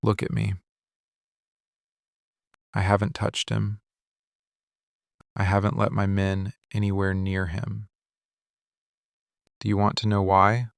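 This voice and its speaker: A man playing a possessive, menacing mafia boss in love, in an intimate boyfriend ASMR monologue, speaking in controlled, deliberate, intense short statements close to the mic. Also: on the low side, monotone, creaky, no echo. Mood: quiet menace. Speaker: voice actor. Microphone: close studio mic, dry.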